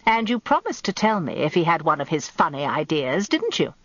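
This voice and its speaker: Woman plays male solicitor, smooth, flirty, ingratiating. Lively pitch movement, slightly muffled radio drama recording. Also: a little fast, no echo. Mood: wheedling.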